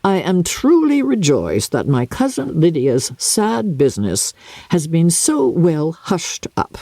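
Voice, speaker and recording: A woman's voice: rumbling low, warm tone, slightly rough, no room sound, exaggerated voice. A woman voicing a pompous, sanctimonious clergyman with a formal, preachy manner in a dramatic novel reading.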